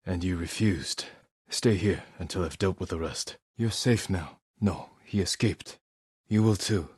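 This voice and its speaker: A grimly resolute samurai leader for a video game. His low voice has a husky grain and sits in a very dry, close recording. He speaks with solemn, measured restraint in short, clipped lines. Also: dry recording. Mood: calm, resolute. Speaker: video game lead character. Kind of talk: dramatic video game voice acting.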